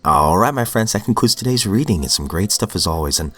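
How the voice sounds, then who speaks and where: A man's voice: low-pitched, expressive, brisk, a bit bright. A man hosting a daily recovery reading podcast, reading passages aloud and then reflecting earnestly, sounding sniffly from a cold. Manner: earnest, reflective, with fillers and restarts.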